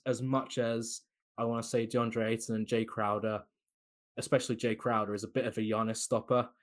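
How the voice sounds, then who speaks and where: A man talking basketball in a loose, opinionated way, pitched right in the middle of the usual range for a man. He chats freely, laughing now and then between points. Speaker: podcast guest analyst. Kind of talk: casual basketball chat.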